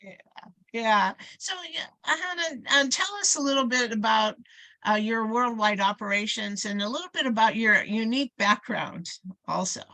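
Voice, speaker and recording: A woman's voice: fairly high pitch, normal speed, pushed and bright, poor audio. A woman hosting an interview podcast, introducing and questioning a guest. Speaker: podcast host.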